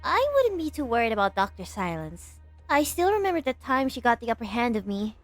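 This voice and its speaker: High-pitched, shrewd wry woman, played by an amateur. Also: very animated, fairly quick, dry recording, soft background music. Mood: urgent. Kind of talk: amateur game fan dub.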